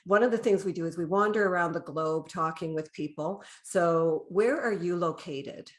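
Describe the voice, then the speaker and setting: A woman's voice: on the low side, normal speed. A woman with a Canadian accent, speaking as the host of a podcast interview.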